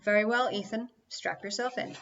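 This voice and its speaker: Woman voicing a wry, unhurried talking spaceship. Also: mid-range voice, fairly even intonation, fairly bright tone, lo-fi sound, slight background noise, lightly acted. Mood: brisk. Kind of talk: audiobook character dialogue.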